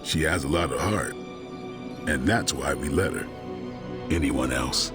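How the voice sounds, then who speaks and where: An actor playing a gruff, stoic vampire hunter in a video game, terse and deadpan, with dry loyalty. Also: male voice, a little slow. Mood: guarded, fond. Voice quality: very deep. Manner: terse, deadpan, dryly blunt. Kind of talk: video game cutscene dialogue. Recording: music under the dialogue. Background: music.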